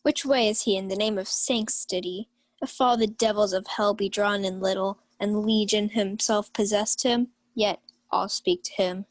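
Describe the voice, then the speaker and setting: A woman's voice: medium pitch, steady tone, normal speed, no echo, close to an everyday voice. Young woman as sneaky old-time knight guy, theatrical fake concern, very dry recording. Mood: mock concern. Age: young adult. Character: scheming, mischievous knight uncle, feigning grave concern. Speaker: student actor. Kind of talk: 1940s radio play Shakespeare acting.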